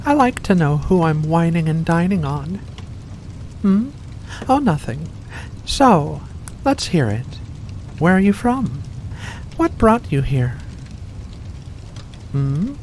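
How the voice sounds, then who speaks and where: Woman as a slow, silky, predatory vampire. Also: low-pitched, very animated, a little mellow, a little hiss or hum, heavily acted. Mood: coaxing, curious. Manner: slow, silky, coaxing, genteel endearments with veiled menace. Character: ancient predatory vampire with furry paws, seductive, faux-kindly. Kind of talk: ASMR roleplay addressed to the listener.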